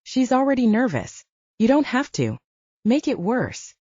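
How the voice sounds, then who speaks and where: A woman's voice: fairly high pitch, big pitch swings, measured pace, dark tone, no echo, highs cut off, pitched above the performer's own voice. A woman narrator voicing a protective, commanding male werewolf, an older brother, in an audiobook. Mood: insistent.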